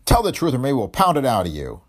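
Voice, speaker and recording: A man voicing a gruff, shrewd police chief in a hardboiled detective story, blunt and intimidating with grudging amusement. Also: lowish voice, big pitch swings, quick pace, darkish tone, pitched above the performer's own voice. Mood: threatening. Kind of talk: hardboiled detective audiobook dialogue.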